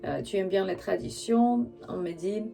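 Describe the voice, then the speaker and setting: A woman who is not a native French speaker gives an intuitive tarot reading straight to the listener, halting as she searches for words. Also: medium pitch, gravelly, warm tone, faint music behind. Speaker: spiritual tarot reader and channel host.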